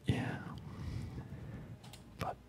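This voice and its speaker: Whispering man, slow ASMR game commentary. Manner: hushed, unhurried, rambling. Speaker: gaming video host.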